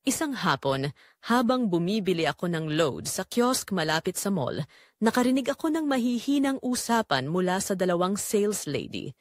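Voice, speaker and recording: A woman's voice: mid-range voice, big pitch swings, moderate pace, dry recording, clean studio sound. A woman narrating a dramatic first-person story in an emotive way.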